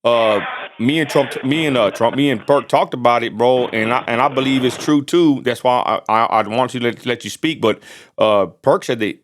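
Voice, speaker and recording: A man's voice: medium pitch, normal speed. An American man hosting a casual, slangy interview, often stammering and repeating words. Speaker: host, interviewer.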